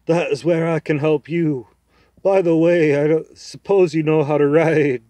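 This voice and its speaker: A man voicing a proud, scornful male talking horse in a storybook reading, loud and lecturing. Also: on the high side, steady tone, a little slow, no echo, pitched above the performer's own voice, natural voice. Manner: haughty, lecturing, loud and emphatic.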